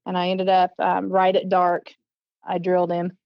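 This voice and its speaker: A woman with a Southern US accent, a guest in an interview, telling stories in a chatty, animated way, full of ums and acted-out quotes. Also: on the low side, normal speed, creaky, no echo, highs cut off. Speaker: guest, competitive archer and teacher. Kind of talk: casual storytelling in an interview.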